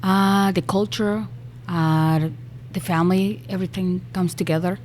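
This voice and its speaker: Soft-spoken Venezuelan-born woman, hesitant. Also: lowish voice, steady tone, unhurried, a little hiss or hum. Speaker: restaurant owner. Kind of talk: interview answers. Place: restaurant.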